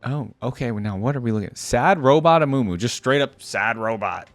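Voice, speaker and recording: A man's voice: medium pitch, lively intonation, brisk, faint music behind. A man giving casual reaction commentary on video game skins, rambling and interjecting as he thinks aloud.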